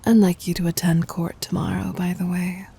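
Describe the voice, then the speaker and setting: A woman playing a gentle, dominant demon queen in an ASMR roleplay, teasing and intimate, in a breathy whisper. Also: lowish voice, little pitch movement, exaggerated voice. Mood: playful, possessive. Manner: slow, intimate, commanding.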